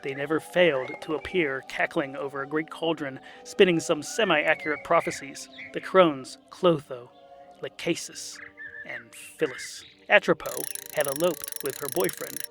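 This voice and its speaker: A man's voice: a little high, moderate pace, vocal fry, a bit bright, faint music behind. A man narrating a comic fantasy tale in a wry, dry, scripted manner.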